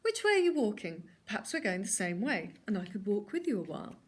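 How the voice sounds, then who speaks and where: Storybook wolf, young and bumbling, squeaky when scared, voiced by a woman. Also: very animated, brisk, lightly acted. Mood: fake innocent.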